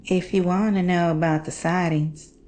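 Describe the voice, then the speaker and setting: A woman's voice: fairly low pitch, fairly even intonation, moderate pace, a little mellow, slight background noise, close to an everyday voice. A woman playing a guarded, secretive informant reading out a note in a horror audio drama.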